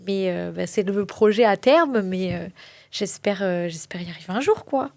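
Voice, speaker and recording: A woman in her late twenties, a reality TV contestant, chatting in an interview and often pausing with fillers. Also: medium pitch, lively intonation, creaky, a little mellow, no room sound. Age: late 20s. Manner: chatty, frequent hesitations. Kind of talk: casual interview.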